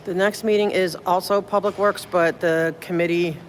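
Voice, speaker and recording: A woman's voice: fairly low pitch, steady tone, normal speed. Rambling woman livestreaming on a noisy phone. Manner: rambling, thinks aloud, thanks viewers. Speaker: livestreamer filming city meetings. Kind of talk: casual livestream chat. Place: public building hallways and elevators.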